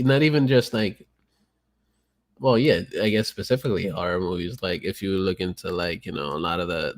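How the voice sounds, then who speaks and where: A man hosting a casual podcast, talking loosely with lots of fillers and swearing. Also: lowish voice. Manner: loose, profane, filler-heavy. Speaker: podcast host.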